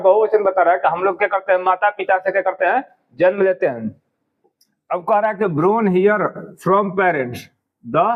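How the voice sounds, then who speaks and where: A man's voice: high-pitched, narrow, tinny audio. A man teaching a class, explaining a poem line by line and often asking whether the students understood. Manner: repetitive questioning, drawn-out English words.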